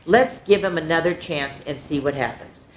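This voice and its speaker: A woman voicing a thoughtful young male leaf who speaks calmly and reasonably. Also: deep voice, normal speed, natural voice. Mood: kind. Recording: roomy echo.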